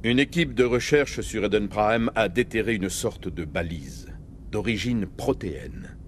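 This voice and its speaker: A man's voice: on the low side, lively intonation, unhurried, slight background noise. A man playing a commanding military captain in a French video game, formal and resolute. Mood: serious, explaining. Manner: firm, formal, authoritative.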